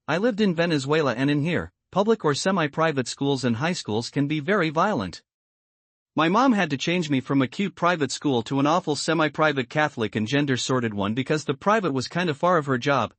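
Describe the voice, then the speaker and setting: A man narrating an online post as a story in a steady, even read-aloud manner. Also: on the high side, no echo.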